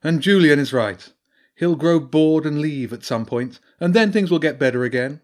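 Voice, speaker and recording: Picture a wise, grave badger priestess from a storybook, lifted into a higher register by a man reading her lines. She speaks in a measured, knowing way and delivers her warnings with weight. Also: natural voice. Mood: grave, soothing. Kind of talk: audiobook character voice.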